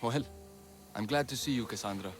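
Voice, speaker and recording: A man's voice: mid-range voice, brisk, faint music behind, natural voice. An actor playing an earnest, idealistic, caring young man of the ancient world in a video game cutscene. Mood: warm. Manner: sincere, idealistic.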